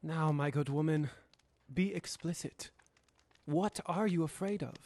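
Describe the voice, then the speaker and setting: A student actor playing a proper, anxious gentleman lawyer in a radio drama, a man speaking formally and haltingly. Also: on the high side. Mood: calm, coaxing.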